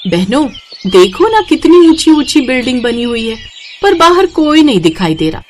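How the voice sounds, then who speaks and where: A woman's voice: high-pitched, sing-song, moderate pace, slight background noise, pitched above the performer's own voice. A woman voicing a simple, cheerful young village bride in a story, polite and earnest, with a rustic way of speaking. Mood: wondering.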